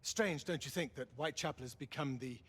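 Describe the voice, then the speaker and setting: An actor playing a courtly, cerebral Victorian police commissioner in a video game, with a British accent and formal, musing phrasing. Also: male voice, mid-range voice, fairly quick, a bit bright, husky. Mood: musing, deliberate. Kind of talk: video game cutscene acting.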